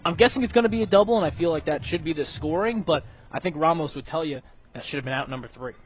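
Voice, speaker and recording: A man's voice: on the high side, fast talker, slight background noise, poor audio. A man doing live baseball radio commentary as the analyst, in a conversational, analytical way. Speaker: color commentator and scoreboard announcer.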